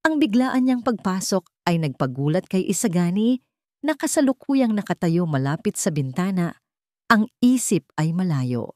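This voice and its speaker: A woman narrates a dramatic story from a script. Her voice sits fairly low for a woman. The recording is very dry, with no room sound. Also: sing-song, normal speed, a little mellow, no echo.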